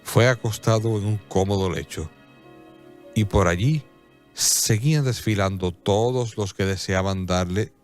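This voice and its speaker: A man narrating a scripted radio drama in a solemn, measured storytelling manner. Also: fairly low pitch. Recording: clear music bed behind.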